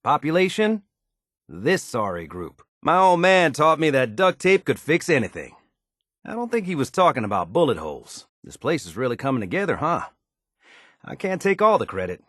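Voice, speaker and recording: A man's voice: fairly high pitch, big pitch swings, moderate pace, a bit bright, no room sound, studio quality. A man playing a down-to-earth, folksy and modest handyman in a wasteland video game, with easygoing, colloquial phrasing. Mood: wry, friendly. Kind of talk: video game character dialogue.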